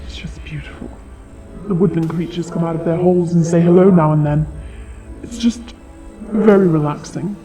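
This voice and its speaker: A man's voice: a little high, close to an everyday voice. A man voicing a haughty, sardonic dragon in an audio roleplay, with a taunting, theatrical delivery. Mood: wistful, guarded. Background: fantasy game-style music.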